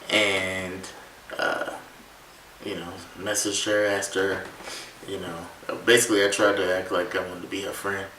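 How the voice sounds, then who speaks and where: A man, chatting in a laid-back, halting way with his partner on a vlog. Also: sits low, level tone, pushed and bright, recorded from a distance, a little hiss or hum. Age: 20s. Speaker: vlog co-host. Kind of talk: casual couple chat. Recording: roomy, mic set back.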